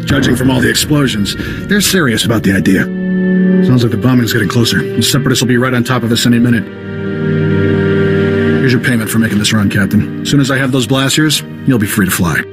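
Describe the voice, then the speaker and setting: An actor playing a slippery, brisk smuggler gunrunner in a video game, talking smoothly and casually with cocky ease. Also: male voice, mid-range voice, loud music behind the voice, natural voice. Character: Skavak, brisk slippery male smuggler gunrunner, secretly treacherous. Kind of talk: video game cutscene acting.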